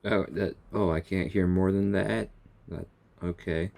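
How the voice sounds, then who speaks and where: A man's voice: low voice, steady tone, a little slow, vocal fry, darkish tone. A man giving casual reaction commentary over gameplay, teasingly talking back to the characters. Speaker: gaming video creator.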